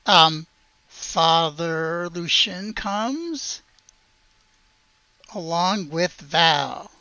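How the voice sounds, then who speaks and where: A man narrating a tabletop roleplaying game as game master, halting as he searches for words. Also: on the high side, lively intonation, bright, forceful tone, dry recording, dull audio.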